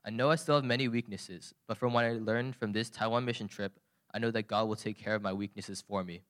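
A man reading out his mission-trip testimony at church in a steady, earnest way, with a few restarts. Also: on the low side. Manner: earnest, steady, slightly halting.

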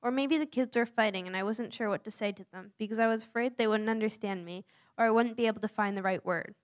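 A young woman from the United States reading a prepared personal account aloud in an earnest, steady way. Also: fairly high pitch, fast talker. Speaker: youth mission volunteer.

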